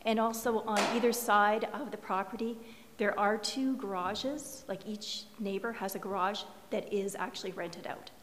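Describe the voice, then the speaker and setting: Brief: hoarse woman applicant, earnest and explanatory, in a reverberant council chamber. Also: mid-range voice. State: losing her voice. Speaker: applicant's designer presenting to a planning commission. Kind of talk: formal public meeting presentation. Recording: reverberant meeting room, faint hiss.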